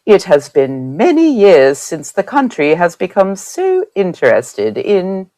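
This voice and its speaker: A woman's voice: fairly low pitch, wildly swooping pitch, warm tone, full character voice. Woman doing a stately, lilting First Lady. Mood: stately, admiring. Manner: stately, genteel, measured. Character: dignified First Lady reading her genteel newspaper column. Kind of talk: old time radio drama acting.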